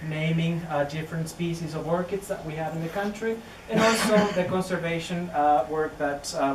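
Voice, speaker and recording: A man in his thirties with a Chilean Spanish accent, a native Spanish speaker, giving a public talk as an orchid researcher, hesitant with many fillers and restarts. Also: a little high. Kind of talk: public lecture. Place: indoor venue near a greenhouse. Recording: distant roomy recording with noise.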